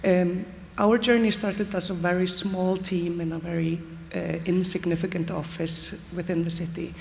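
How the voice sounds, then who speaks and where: Gravelly low woman from Iceland. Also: flat delivery, normal speed, slight background noise. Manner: hesitant, many fillers. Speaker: panelist, city official. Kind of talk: conference panel talk. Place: large conference stage. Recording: long reverb, faint ambience.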